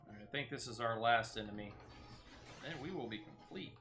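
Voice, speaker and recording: A man's voice: medium pitch, animated, brisk, fairly bright tone, slight background noise. A man giving casual gameplay commentary as a streamer, muttering his play-by-play as if talking to himself. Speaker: gaming streamer.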